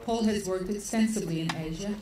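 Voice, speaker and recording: A woman's voice: mid-range voice, brisk, crowd noise. Chatty Australian woman in an echoey hall. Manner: chatty, laughs often, many fillers. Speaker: event organizer introducing speakers. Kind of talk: opening remarks at a seminar. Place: conference hall. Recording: echoey hall, far from the mic, noisy.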